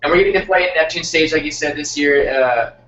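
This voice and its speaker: A young man from Texas talks casually as an interviewed band member, feeling his way through sentences with many fillers. His medium-pitched voice sounds distant in a roomy space, dull and faintly noisy. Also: fast, sharp tone. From Texas, United States. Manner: hesitant, filler-heavy, searching phrasing. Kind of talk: casual chat.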